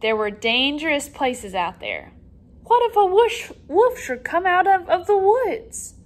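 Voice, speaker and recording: A woman reading a children's story aloud as a teacher, with expressive, dramatic emphasis for young listeners. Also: extremely high pitch, measured pace. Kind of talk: animated storybook read-aloud.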